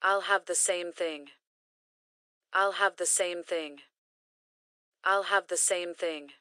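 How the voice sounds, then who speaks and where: A woman reading short English phrases slowly and carefully, each one repeated for learners to practice. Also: on the low side, fairly even intonation, pushed and bright, no room sound, clean studio sound. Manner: careful, clearly enunciated. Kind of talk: slow repeated phrase drills.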